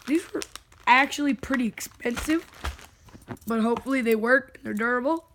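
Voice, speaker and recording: A young vlogger with a hoarse, worn voice, chatting and joking casually while unboxing. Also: mid-range voice, normal speed, fairly bright tone, a little hiss or hum. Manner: chatty, jokey, self-talking. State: hoarse, lost voice.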